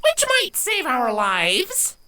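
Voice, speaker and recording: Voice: sits high, huge pitch swings, slow pace, very noisy, pitched above the performer's own voice, exaggerated voice. A storyteller voicing a bold, bookish young female changeling filly in a pony fantasy audiobook. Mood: muttering, wary.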